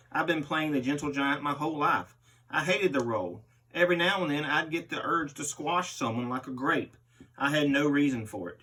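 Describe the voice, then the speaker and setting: A man's voice: medium pitch, pushed and bright, lightly acted. Give me a man playing a boastful barbarian wrestler, distant from the mic. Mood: menacing, defiant. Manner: boastful, menacing wrestling promo talk. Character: brutal, boastful male barbarian pro wrestler, self-confessed crazy. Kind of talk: interview read aloud as a wrestler. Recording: distant microphone.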